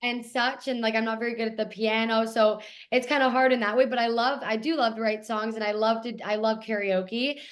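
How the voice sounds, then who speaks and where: A young woman from Toronto, Canada, with a raspy voice, talking eagerly as a guest in a casual interview. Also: on the high side, flat delivery, brisk, few pauses. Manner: eager, gushing, repeats how much she loves things. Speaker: guest actor.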